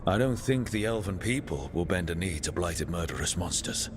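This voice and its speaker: An actor playing an earnest young elven mage hero in a video game, speaking plainly with an inquiring manner. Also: male voice, low-pitched, lively intonation, normal speed, long reverb tail, noisy background. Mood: focused questioning. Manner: plain-spoken, questioning, earnest. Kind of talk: dramatic video game dialogue.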